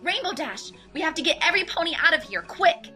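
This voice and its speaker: Woman playing an urgent, high-pitched bossy unicorn mare. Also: big pitch swings, fast talker, music in the background. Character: urgent, bossy female unicorn pony taking charge. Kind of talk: audiobook character voice.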